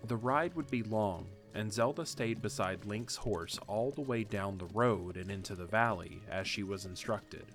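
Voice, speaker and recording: Low-voiced male narrator, measured. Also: faint music behind. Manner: steady, measured reading. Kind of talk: scripted story narration.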